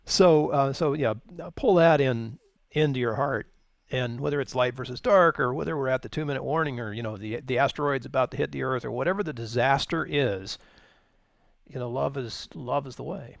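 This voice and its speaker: Gravelly American man, close and dry. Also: mid-range voice, brisk, dry recording. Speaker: co-host and musician. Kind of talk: casual supportive co-host chat.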